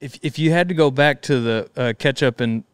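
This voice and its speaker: Young podcast host guy, polite, smooth voice, says yes sir a lot. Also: mid-range voice, fairly quick, no echo. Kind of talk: casual interview chat.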